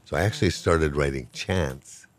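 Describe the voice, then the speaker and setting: A man's voice: very deep voice, moderate pace, dry recording. An older man, a professor of Hawaiian language, talking casually and with good humor in an interview, wandering from point to point. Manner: rambling, good-humored, self-deprecating.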